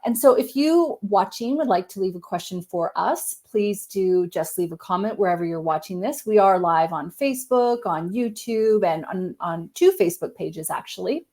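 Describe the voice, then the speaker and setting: A woman hosting a live-streamed chat, a little husky from a cold, talking in an upbeat, chatty way. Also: mid-range voice, lively intonation, moderate pace, hardly any pauses, dark tone. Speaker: host.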